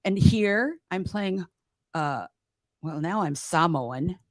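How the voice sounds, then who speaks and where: A woman actor talks about her stage work, now and then reaching for a word and laughing it off. Her voice is on the low side for a woman. The sound is dry and close. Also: animated, slow, dry recording. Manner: searching for words, easy laughs. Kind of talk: casual panel discussion.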